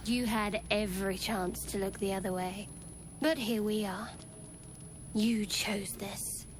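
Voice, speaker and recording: A woman's voice: medium pitch, fairly even intonation, slow pace, background noise, lightly acted. An actress playing a guarded, cutting young adventurer woman in a video game, with a dry, wry delivery. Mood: cold, threatening.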